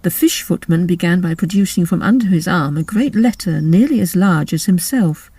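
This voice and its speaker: Mellow, dry-sounding woman narrating a story. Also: lowish voice, normal speed, breathy edge, no echo. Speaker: story reader. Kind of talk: scripted audiobook narration.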